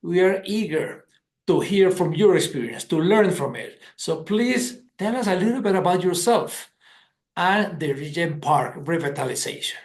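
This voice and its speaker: A man's voice: sits high, lively intonation, normal speed, echoey room. A man from Colombia, enthusiastically introducing a guest as host of a webinar.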